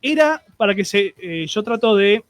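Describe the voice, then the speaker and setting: A man's voice: high-pitched, lively intonation, normal speed, dry recording. A man with an Argentine accent hosting a streamed radio show, chatting and greeting listeners with many restarts and fillers.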